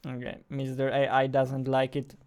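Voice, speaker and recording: A man's voice: mid-range voice, monotone, normal speed, a little mellow, smooth. A young man, a game developer, chatting casually while he codes, thinking aloud and joking at himself. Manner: thinking aloud, self-deprecating, chuckling. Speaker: game developer streaming his work. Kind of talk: casual live-stream chat while coding. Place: home room at a desk.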